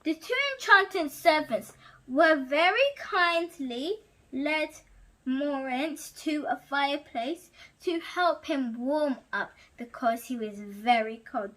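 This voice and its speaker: A woman's voice: high voice, slow pace, low-quality recording. A female host reading a story aloud expressively, with exclaimed asides to the viewers. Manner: animated, exclaiming reactions.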